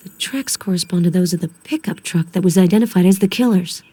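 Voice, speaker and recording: A mellow, determined female investigator. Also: lowish voice, slightly rough, dry recording. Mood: focused, observant. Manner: measured, matter-of-fact. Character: determined, composed female federal investigator in the field. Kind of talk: video game voice acting.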